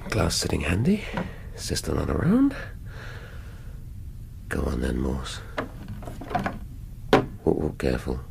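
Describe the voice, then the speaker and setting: An actor with an English accent playing a brooding middle-aged police detective in a radio drama, musing in clipped half-sentences. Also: male voice, rumbling low, huge pitch swings, takes it slowly, vocal fry, pauses often, heavy background noise, heavily acted. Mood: musing, muttering.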